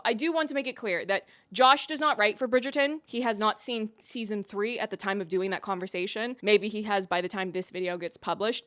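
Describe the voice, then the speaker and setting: A woman giving conversational video commentary as a reviewer, putting deliberate emphasis on key words. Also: fairly high pitch, bright, forceful tone, no room sound. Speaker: video essayist and reviewer on her own channel.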